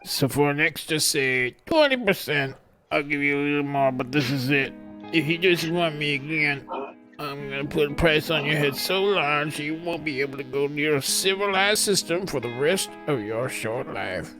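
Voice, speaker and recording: A man's voice: fairly high pitch, lively intonation, normal speed, music in the background, natural voice, rough recording. A man playing a gangster crime boss in a script read, bargaining in a businesslike way with menace underneath. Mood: wheedling, threatening. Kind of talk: amateur table read of a film script.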